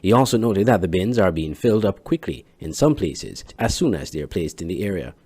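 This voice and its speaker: A man's voice: low-pitched, very animated, brisk, warm tone, slightly rough. A man with a Caribbean accent reading scripted news narration as a reporter.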